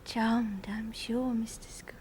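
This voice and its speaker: An actress playing a cheeky, hot-tempered young maidservant with a working-class English accent in a period drama. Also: female voice, sits high, sing-song, moderate pace, a little hiss or hum. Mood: sulky, mock-polite. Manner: saucy, blunt, colloquial. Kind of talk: period television drama acting.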